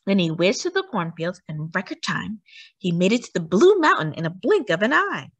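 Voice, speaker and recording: A woman reading a children's book aloud as a storyteller, with expressive, emphatic delivery for young listeners. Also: mid-range voice, normal speed, breathy edge, no echo.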